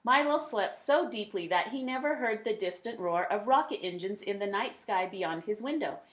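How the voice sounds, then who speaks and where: A woman reading a children's picture book aloud, with dramatic emphasis and drawn-out words. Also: medium pitch, lively intonation. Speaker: read-aloud storyteller.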